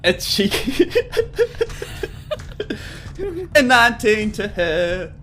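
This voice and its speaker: A woman's voice: medium pitch, sing-song, extremely slow, fairly bright tone, a little hiss or hum. A woman playing a tabletop game, bantering casually and playfully with plenty of jokes. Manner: jokey, playful. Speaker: player in a tabletop game podcast.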